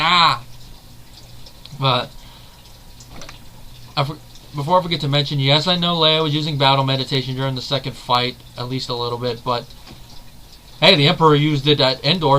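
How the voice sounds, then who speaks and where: A man's voice: mid-range voice, fairly even intonation, moderate pace, long pauses, pushed and bright, slight background noise. A man hosting a video, giving casual, rambling fan commentary and correcting himself as he goes. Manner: rambling, leans on the filler "basically"; analytical, self-correcting. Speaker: video host.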